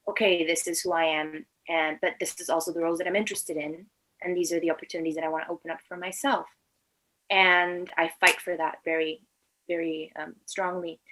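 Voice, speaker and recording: A woman's voice: lowish voice, a bit bright, low-quality recording. A woman in her twenties from Venezuela, a native Spanish speaker, answering interview questions conversationally. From Venezuela and Latin America. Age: 20s. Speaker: interview guest, actor and filmmaker.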